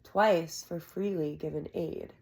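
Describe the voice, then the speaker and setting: A reserved innkeeper, a man who keeps to himself, read by a woman. The words come measured and precise, in a lowish voice with some creak. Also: steady tone, darkish tone, full character voice. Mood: quietly correcting. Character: reserved male innkeeper, quiet outsider, precise.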